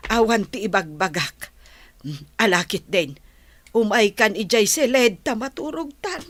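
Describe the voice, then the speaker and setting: A woman acting a tender, gentle old grandmother in a radio drama, speaking softly with sighs. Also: medium pitch, lively intonation, measured pace, no echo. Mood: tender, wistful.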